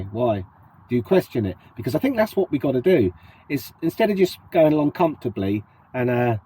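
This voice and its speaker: A man's voice: mid-range voice, mellow, husky. A middle-aged British man with a cold and a sniffly voice, chatting casually to his vlog viewers and putting questions to them. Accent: British English. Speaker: vlogger. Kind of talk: casual to-camera chat.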